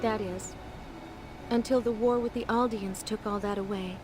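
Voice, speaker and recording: An actress voicing a serious, guarded young woman recounting her childhood in a measured way for a video game cutscene. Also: medium pitch, fairly even intonation, noisy background, lightly acted. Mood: reflective, serious. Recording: game audio under loud music.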